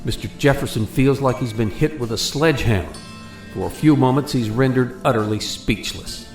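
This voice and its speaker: A man's voice: medium pitch, moderate pace. A man narrating a scripted radio play in a solemn, dramatic storytelling manner. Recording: roomy echo. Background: music.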